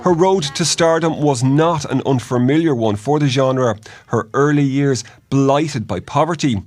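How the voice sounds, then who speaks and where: A man's voice: mid-range voice, unhurried, darkish tone. Male news reporter over music. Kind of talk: scripted obituary narration. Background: music behind the voice-over.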